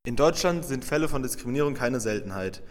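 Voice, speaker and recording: Student reading questions in echoing room. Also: male voice, medium pitch, animated, quick pace. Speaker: student interviewer.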